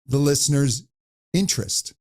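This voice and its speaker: Voice coach guy talking in an interview, upbeat, sings and swoops to show a point. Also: normal speed. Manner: animated, demonstrates pitch with his voice. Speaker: voice coach guest. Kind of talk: conversational interview.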